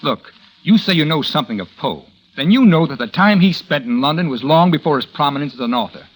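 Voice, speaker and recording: A smug, cold-hearted writer. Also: male voice, a little high, animated, a little fast, low-quality recording. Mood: defensive. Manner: smug, dismissive, curt. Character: cold, conceited man-about-town writer. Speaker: narrator and lead character. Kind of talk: 1940s radio drama acting. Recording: old radio broadcast.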